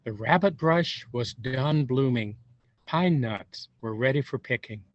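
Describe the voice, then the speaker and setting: A man reading a storybook aloud to young children, expressive and unhurried, pausing for wondering asides. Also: medium pitch. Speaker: volunteer storybook reader. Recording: roomy echo, dull lo-fi sound.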